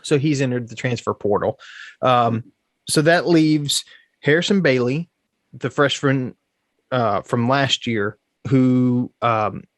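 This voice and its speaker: A man with a slightly stuffy, congested voice chats casually as a podcast host. He sounds relaxed and thinks out loud as he goes. The sound is dry and close, with no room echo. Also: mid-range voice, slow pace. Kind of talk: casual sports chat.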